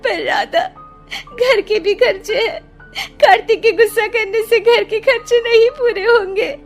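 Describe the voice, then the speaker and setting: A woman's voice: extremely high pitch, a little slow, soft background music, voice pushed up. A woman voicing an upset young housewife in a cartoon, emotional and complaining. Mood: tearful, distressed.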